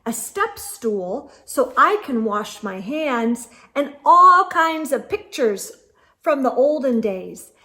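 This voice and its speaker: A young woman reads a children's picture book aloud at an unhurried pace. Her voice sits a little high and swings widely in pitch, gentle and expressive with soft asides to the little ones listening. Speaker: children's storyteller.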